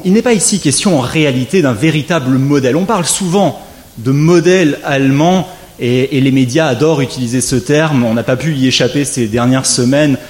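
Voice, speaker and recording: A man, a legal scholar, giving a formal conference lecture, measured with wry asides and rising emphasis on key points. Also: medium pitch, a little mellow.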